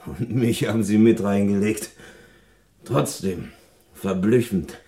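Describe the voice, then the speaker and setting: An actor playing a cautious police assistant in a 1960s German radio crime drama, measured and questioning. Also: male voice, fairly low pitch, warm tone. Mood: puzzled.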